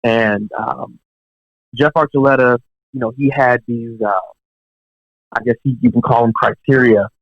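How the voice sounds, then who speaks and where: A young man, a professional drummer, telling career stories in a relaxed, chatty way with lots of fillers and asides. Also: medium pitch, a little slow, long pauses. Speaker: professional touring drummer, podcast guest. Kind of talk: casual storytelling in an interview. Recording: dull, dry lo-fi podcast audio.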